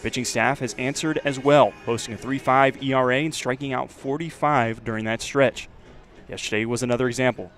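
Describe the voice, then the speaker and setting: A man's voice: medium pitch, steady tone, fairly quick, a bit bright, faint music behind. An American man delivering a scripted introduction as a college sports play-by-play broadcaster.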